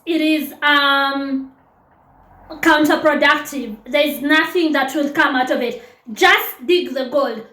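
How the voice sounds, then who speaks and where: A woman's voice: sits high, a bit bright. A woman from Kenya, giving chatty, teasing dating advice straight to her viewers. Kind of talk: casual advice monologue to camera. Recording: echoey room.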